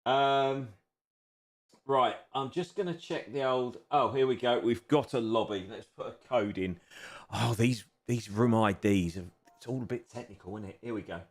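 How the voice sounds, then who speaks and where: A man's voice: mid-range voice, normal speed. A man in his fifties chatting casually on a live stream while seated at a home racing simulator, self-deprecating and chuckling often. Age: 50s.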